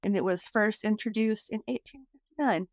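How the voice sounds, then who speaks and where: A female podcast host speaks in a casual conversational style. Her pitch is medium for a woman, and the sound is close and dry, with no room echo. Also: moderate pace. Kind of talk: casual chat.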